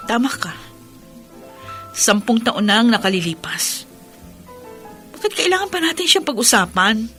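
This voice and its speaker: A woman's voice: fairly high pitch, sing-song, moderate pace, long pauses, a bit bright. A woman playing a weary, guarded elder sister and widowed mother in a radio drama. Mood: guarded, irritated. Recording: music clearly behind the voice.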